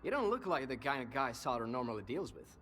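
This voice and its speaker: A man's voice: medium pitch, big pitch swings, quick pace, a bit bright, soft background music. An actor playing a cynical, worldly male informant in a video game, talkative and sardonic, with an insinuating conversational manner. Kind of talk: video game dialogue acting.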